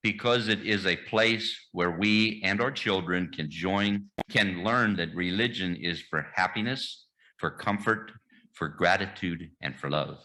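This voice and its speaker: A man leading a church membership welcome, reading the liturgy in a measured, formal way. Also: low-pitched, pushed and bright, low-quality recording. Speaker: membership committee leader. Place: church sanctuary.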